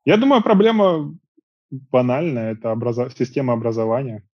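A man's voice: medium pitch, fairly quick, dry recording. Guy on a video call from home, earbuds, dead dry and kinda muffled, easygoing rambly chat. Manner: relaxed, talks in long rambling stretches with fillers. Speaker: interview guest. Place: small room at home.

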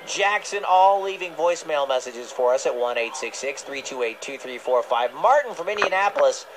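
A male radio host chats playfully for a children's show. His pitch moves around in a lively way, and the recording of the broadcast carries a slight layer of noise. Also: moderate pace. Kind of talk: playful kids' radio hosting. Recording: slightly noisy capture of radio playback.

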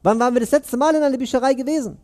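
Earnest high-voiced man preaching. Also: darkish tone. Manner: earnest, questioning, pauses between phrases. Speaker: imam giving Friday sermon. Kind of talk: sermon. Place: mosque prayer hall, indoors. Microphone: headset mic.

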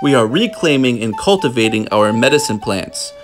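A man reading a book aloud in a measured, deliberate way, pausing often between phrases. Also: medium pitch, animated, faint music behind. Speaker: storybook reader. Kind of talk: children's book read-aloud, scripted narration.

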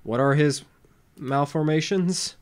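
A man's voice: fairly high pitch, takes it slowly. Young man reacting casually. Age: young adult. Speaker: reaction video host. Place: small room indoors. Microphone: desk microphone with headphones.

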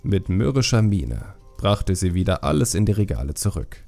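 A man narrating a fantasy audiobook in a measured, expressive storytelling manner. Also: sits low, soft background music.

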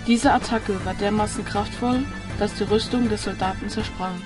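A woman's voice: medium pitch, steady tone, normal speed. Woman narrator over prominent music. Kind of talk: scripted audio drama narration. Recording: music under the voice.

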